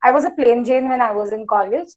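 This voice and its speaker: Indian woman around 40, hoarse highish voice, talks really fast, blunt informal pep talk with hindi bits mixed in. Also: dull audio. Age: late 30s or around 40. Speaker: motivational speaker. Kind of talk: motivational talk with personal anecdotes, mixing in Hindi phrases.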